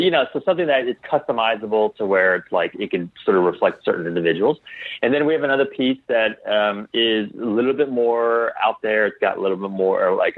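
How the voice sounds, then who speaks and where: Male podcast guest, lowish voice, casual and halting, restarts often, heard through a narrow phone-like connection. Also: no echo. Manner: halting, tentative, trails off and restarts. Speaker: interview guest, clothing designer. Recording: tinny phone-like line.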